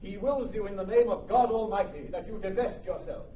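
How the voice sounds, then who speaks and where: An actor playing a stern, formal English envoy, solemnly proclaiming in a classical radio drama. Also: male voice, high-pitched, brisk, dark tone, slight background noise, lightly acted. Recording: distant, echoey, dull radio recording.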